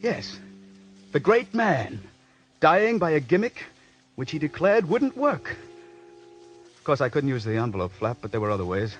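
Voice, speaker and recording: An actor playing an embittered radio writer with murder in mind, intense, in 1940s radio drama style. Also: male voice, fairly high pitch, very animated, normal speed, pauses often, muffled recording. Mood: brooding, vengeful. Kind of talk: 1940s radio acting with first-person narration. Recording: vintage radio drama recording.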